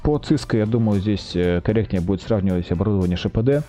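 A man explaining products as a guest in a podcast interview, speaking haltingly with drawn-out hesitations. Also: lowish voice, mellow, faint music behind. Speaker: guest, telecom equipment maker's project manager.

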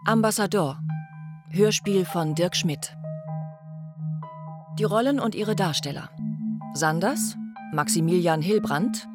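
A woman announcer reading scripted radio drama credits in a measured, formal way. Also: lowish voice, over loud music.

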